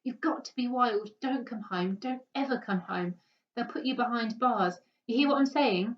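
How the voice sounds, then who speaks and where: Woman acting distraught, high-pitched pleading little boy. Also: normal speed, natural voice. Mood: sad pleading. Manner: impassioned, crying out. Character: distraught, pleading seven-year-old farm boy. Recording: dull, distant microphone.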